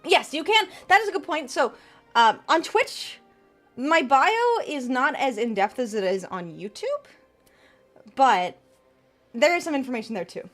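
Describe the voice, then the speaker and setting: Woman, VTuber streamer, high and bouncy voice, chatty and laughing, casual tarot readings, faint music underneath. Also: sing-song, a little slow, a bit bright. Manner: chatty, answers chat aloud, laughs often, trails off and restarts.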